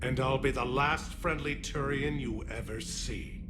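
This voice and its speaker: A man's voice: mid-range voice, unhurried, music in the background, close to an everyday voice. An actor playing a stern, impatient male alien military leader in a video game, curt, formal and authoritative.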